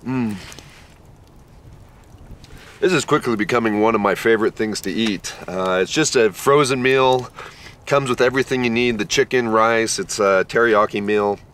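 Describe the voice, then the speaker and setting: A man in his thirties vlogging at an outdoor campsite, talking in a slow, worn-out, easygoing way after being sick. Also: fairly low pitch, fairly bright tone, slight background noise. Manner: laid-back, tired, unhurried with many fillers. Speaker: camping vlogger. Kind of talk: casual camp vlog talk to camera. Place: outdoor pine forest campsite.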